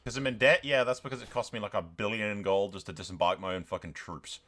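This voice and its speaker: A man's voice: on the low side, fairly even intonation, quick pace, fairly bright tone. A man giving casual, sweary game commentary, slipping into mock-grandiose role-play narration. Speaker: gaming video host.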